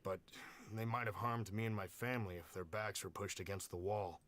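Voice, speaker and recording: A man's voice: fairly low pitch, fairly even intonation, a little fast, a bit bright, lightly acted. A man voicing a friendly, grateful villager and family man in a game, with an earnest, courteous, welcoming manner. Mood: admiring. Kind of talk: game voice acting, storytelling dialogue.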